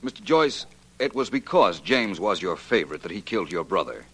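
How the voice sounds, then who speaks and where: A very low male voice carries this shrewd detective. He speaks with crisp assurance, setting out his logic point by point in the manner of a 1940s radio mystery. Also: big pitch swings, a little fast, a bit bright, a little hiss or hum, full character voice. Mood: self-assured, explanatory. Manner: crisp, confident, methodical explaining.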